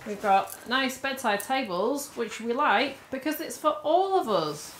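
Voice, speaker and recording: A woman's voice: on the high side, animated, unhurried, a little hiss or hum. A woman in her thirties with a British accent, vlogging in a chatty, informal way to a handheld camera in a hotel room. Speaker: family travel vlogger. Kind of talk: casual vlog chat to camera. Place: small hotel room and bathroom. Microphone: handheld camera at arm's length.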